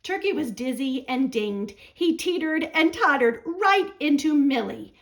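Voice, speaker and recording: High-voiced, animated woman reading a picture book. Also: unhurried. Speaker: children's librarian storyteller.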